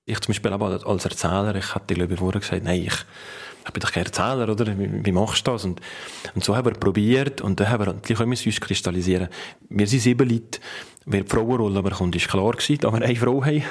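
A man's voice: lowish voice, fast. A man with a Valais Swiss German accent talking spontaneously in an interview, often adding tag questions. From Valais, Switzerland. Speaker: band member being interviewed.

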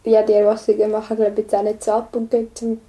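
A woman's voice: mid-range voice, monotone, quick pace, dark tone, slight background noise, low-quality recording. A young teenage girl, chatting casually and retelling everyday episodes in a group.

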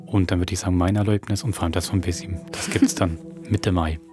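A man's voice: deep voice, steady tone, fast. A man with a Swiss German accent hosting a podcast, giving a casual outro. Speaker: podcast host. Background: guitar music.

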